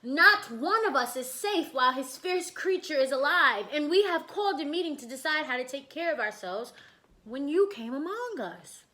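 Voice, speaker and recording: Woman as an earnest, high-voiced storybook tiger. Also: expressive, normal speed, sharp tone. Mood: alarmed, pleading. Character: big male tiger, respectful, anxious spokesman of forest beasts.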